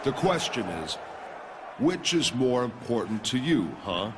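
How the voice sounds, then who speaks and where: A man's voice: medium pitch, big pitch swings, measured pace, a crowd in the background, background noise. An actor playing a cocky, coldly threatening male pro wrestler in a video game cutscene. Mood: menacing.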